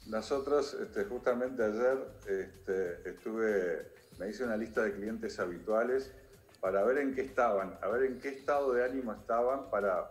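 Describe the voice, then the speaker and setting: An older man with a Uruguayan accent, a restaurant owner speaking remotely as an interview guest, hesitant and full of filler words. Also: lowish voice. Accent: Uruguayan Spanish. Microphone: video call. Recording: echoey video call.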